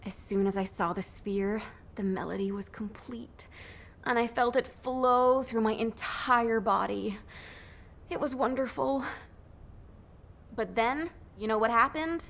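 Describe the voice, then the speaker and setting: A woman playing a dreamy, excitable young music-obsessed character in a video game. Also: on the high side. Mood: animated, teasing. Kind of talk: scripted game dialogue.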